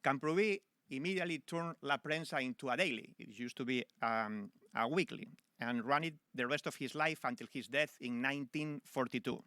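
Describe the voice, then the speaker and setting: A man's voice: medium pitch, a little fast, bright, forceful tone, no echo. A man, a newspaper executive, giving an informal speech and telling a story to an audience at a public event.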